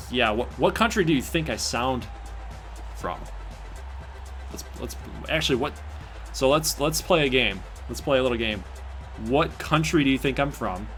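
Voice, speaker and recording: A man from Michigan with a Michigan accent and a somewhat nasal voice, hosting a live stream of a simulated basketball game. He chats with viewers and jokes around, and gets excited at big plays. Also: medium pitch. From Michigan, USA. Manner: chatty and joking, talks to the live chat, bursts of excitement at big plays. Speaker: stream host and game commentator.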